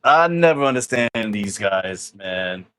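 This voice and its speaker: Lively-voiced co-host, faint noise. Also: male voice, fairly low pitch, measured pace. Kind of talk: casual sports podcast chat.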